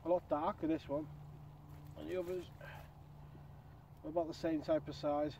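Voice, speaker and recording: A man's voice: a little high, level tone, moderate pace, creaky, warm tone, dull audio, a little hiss or hum. Chatty young man on a pond bank. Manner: chatty, upbeat, with frequent fillers. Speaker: angler vlogger. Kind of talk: casual outdoor commentary. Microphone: action camera mounted nearby.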